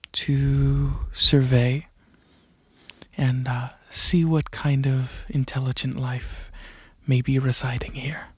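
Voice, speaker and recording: A calm, courteous dragon alien researcher, played by an ASMR performer, speaking in a breathy half-whisper at a slow, halting pace. The manner is gentle and reassuring. The sound is very dry and close. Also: medium pitch, no echo. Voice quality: soft, breathy, often whispery. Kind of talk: soft-spoken ASMR roleplay. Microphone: close microphone. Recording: close, dry, slightly muffled recording.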